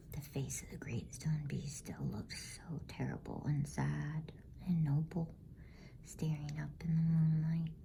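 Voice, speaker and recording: A woman reading a bedtime story as ASMR in a hushed, unhurried whisper. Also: sits low, vocal fry, noisy background.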